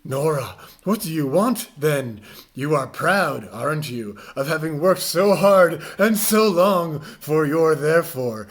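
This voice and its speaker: A man giving a mock-dramatic reading, with overwrought theatrical delivery that swings between hushed and loud. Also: on the high side, animated, slow.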